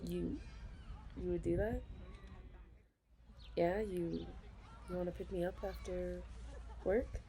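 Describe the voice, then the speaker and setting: A woman with a low voice that has a gravelly, fry-laced edge speaks slowly as a bashful office worker in a confiding ASMR role-play. She often stammers and starts over, and soft giggles break up her talk. A faint hiss of noise sits behind her. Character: shy, fond female office worker.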